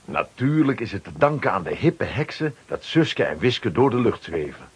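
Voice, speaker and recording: A man's voice: lowish voice, sing-song, moderate pace, slightly rough. A man narrating a children's radio play from a script, dramatically.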